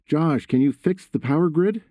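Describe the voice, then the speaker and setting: A man's voice: on the high side, lively intonation, moderate pace, dark tone, no echo, clean studio sound, voice pushed up, lightly acted. A man voicing a worried father in an audiobook, stern but caring, earnest and urgent. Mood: impatient.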